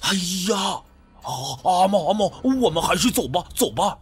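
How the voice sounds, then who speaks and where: A man voicing a timid, superstitious, excitable village friend in an audio story, with hesitant, stammering speech full of interjections. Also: high-pitched, moderate pace, breathy edge, soft background music, voice pushed up, full character voice. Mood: nervous, urging.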